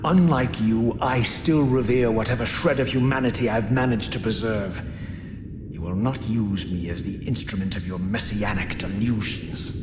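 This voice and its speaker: An actor playing a proud, defiant, bitter wanderer in a video game, speaking in a formal, sardonic, theatrical way. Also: male voice, lowish voice, normal speed, noisy background, lightly acted. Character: Raziel, proud, defiant, bitter inhuman wanderer seeking truth. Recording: echoing hall, music behind.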